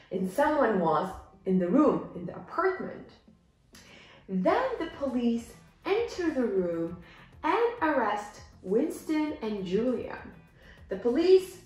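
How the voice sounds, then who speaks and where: A young woman teaching English, reading a simplified story and explaining it with deliberate, demonstrative enunciation for learners. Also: on the high side. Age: young adult. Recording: echoey room, music behind.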